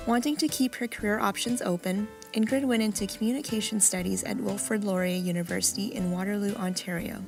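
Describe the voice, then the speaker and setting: A woman host reading scripted podcast narration in an even, measured way. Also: medium pitch, creaky. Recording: narration over faint music.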